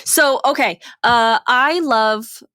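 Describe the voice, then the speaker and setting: A woman's voice: on the high side, slow pace, bright, forceful tone, dry recording, clean studio sound. A woman co-hosting a podcast, talking casually about film in an explanatory way, often checking in with "right?". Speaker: podcast co-host.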